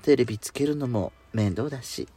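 A man's voice: medium pitch, moderate pace, mellow, slightly rough, soft background music, close to an everyday voice. A man playing a coy, clingy, pampered sweetheart with feminine speech in an intimate bedtime audio drama. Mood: clingy, drowsy. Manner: coy, teasing, feminine wording.

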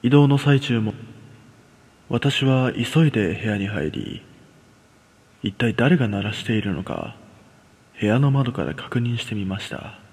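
Male narrator, scripted horror storytelling, lively pitch swings, husky creaky voice, dramatic, long reverb. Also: measured pace, pauses often.

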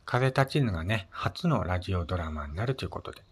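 A man with a low-pitched voice hosts a relaxed solo radio talk. He speaks politely in short phrases, often pausing on small fillers as he gathers his next thought. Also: husky. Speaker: solo podcast host. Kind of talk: casual solo radio chat.

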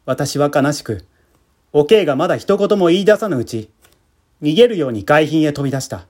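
A man's voice: on the high side, expressive, a little fast, darkish tone. A man reading a literary short story aloud as a dramatic narration. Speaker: amateur theatre troupe leader reading aloud. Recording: music bed behind the voice.

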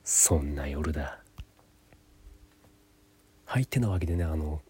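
A man's voice: rumbling low, lively intonation, measured pace, dark tone, slightly rough. A young man telling his own story as dramatic narration, often half-whispering. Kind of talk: dramatic first-person storytelling.

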